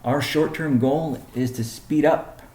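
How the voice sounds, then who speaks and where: A man presenting as a company chief on a business conference call, speaking in measured, deliberate phrases. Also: a little high, steady tone, darkish tone. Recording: roomy, echoing sound.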